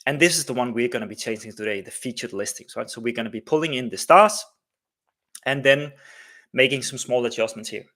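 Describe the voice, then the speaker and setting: Man from denmark, live stream host, rambling, lots of ums and restarts, mid-pitched voice. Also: a little fast, bright, forceful tone. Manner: rambling, many fillers and restarts. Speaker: livestream host, developer. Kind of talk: casual live coding stream.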